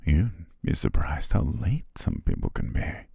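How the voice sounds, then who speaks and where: A man playing a courteous, devious hypnotist in an ASMR roleplay, speaking in a soothing, breathy whisper with genteel, deliberate pauses. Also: very animated, mellow, no room sound, studio quality, full character voice. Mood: smooth, reassuring. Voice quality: whispery, breathy, very low and gravelly. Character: devious, courteous male hypnotist welcoming a client.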